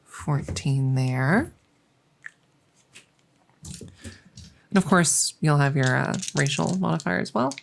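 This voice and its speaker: A woman playing a friendly, chatty dungeon master in a soft-spoken ASMR roleplay, musing and hesitant as she talks intimately to the listener. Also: low voice, animated, measured pace, vocal fry, a little hiss or hum, full character voice. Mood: relaxed, musing. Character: friendly female dungeon master, chatty, teasing, doting cat owner.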